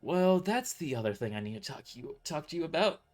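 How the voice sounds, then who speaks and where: A man's voice: a little high, sing-song, brisk, a little mellow, soft background music, voice pushed up. A man voicing a sheepish young office dispatcher, hesitant, stammering and apologetic, in an audio drama.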